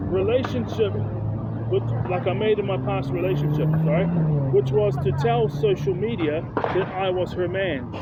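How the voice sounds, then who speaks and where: A man in his late thirties with a New Zealand accent speaks in a fairly high voice and delivers an earnest self-help monologue, circling back to repeat his points. The sound is thin and phone-like. Loud street traffic rumbles behind him outdoors. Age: 30s. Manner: earnest, repeats and restarts phrases. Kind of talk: personal motivational monologue. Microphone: phone held close. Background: cars and street noise.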